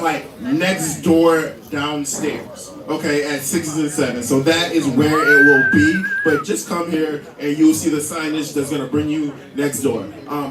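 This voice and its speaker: A man hosting a live poetry slam, loud and casual as he pumps up the crowd at an indoor venue. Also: a little high. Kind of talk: live event emceeing. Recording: roomy, distant live venue sound with noise.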